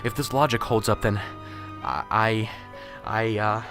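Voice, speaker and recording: A man's voice: lowish voice, steady tone, unhurried, a bit bright, soft background music. A man playing a nervous, unsure professor in an amateur radio drama, muttering anxiously to himself and stammering.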